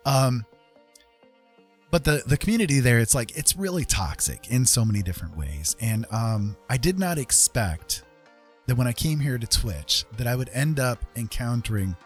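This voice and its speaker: A man casually chatting as a livestream host, earnest and encouraging, talking in a halting way with pauses. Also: medium pitch, big pitch swings, faint music behind. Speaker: livestream host and digital artist.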